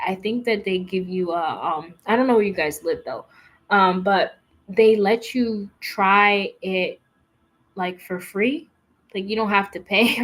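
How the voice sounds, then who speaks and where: A woman chats casually on a livestream and reads out viewer comments as she goes. She rambles, restarts her sentences and fills the gaps with "um" and "like." Her delivery is slow and unhurried, at a mid pitch. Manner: chatty, rambling, many fillers and restarts. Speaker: livestream host.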